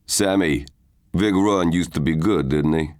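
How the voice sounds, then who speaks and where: A man voicing a menacing, commanding fight-fixing boss in a slow, deliberate, threatening way, as in an audiobook. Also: deep voice, a little mellow, no echo, studio quality, voice pushed down, natural voice. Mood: cool.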